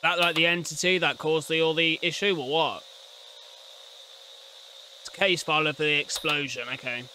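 A man's voice: fairly high pitch, steady tone, moderate pace, pauses often, pushed and bright, a little hiss or hum. A young man giving casual, slangy reaction commentary with blunt swearing and muttered asides into a close desk mic. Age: young adult. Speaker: reaction video host. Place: indoor room at home. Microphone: close desk condenser mic, headset on.

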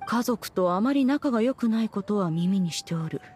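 A woman's voice: medium pitch, lively intonation, normal speed, a little mellow, slight background noise, lightly acted. A woman voicing a proud, regal ancient immortal in an anime game, a stern but caring master with stately, archaic diction. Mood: haughty, curious.

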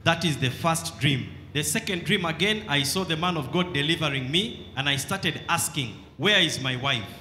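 Bright-voiced male interpreter in an echoey hall. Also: on the high side, animated, normal speed, hardly any pauses. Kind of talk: consecutive interpreting of testimony. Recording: echoey hall with background noise.

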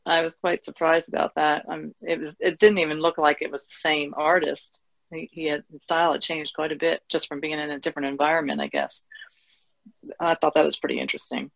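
A woman's voice: low-pitched, steady tone, brisk, vocal fry, rough recording. A woman on a podcast panel, casually discussing art.

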